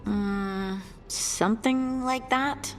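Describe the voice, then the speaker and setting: A woman's voice: fairly high pitch, extremely slow, soft background music. A woman playing an earnest young dwarf scout in a fantasy video game, sincere and a little hesitant. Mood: uncertain. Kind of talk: scripted video game dialogue.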